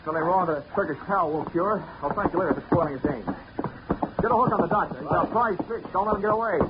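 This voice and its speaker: A man's voice: sits high, quick pace, heavy background noise, close to an everyday voice. An actor playing a wry, hard-boiled insurance investigator, narrating his case with dry wisecracks in 1940s radio style. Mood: brisk, urgent. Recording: dull 1940s radio recording, loud music.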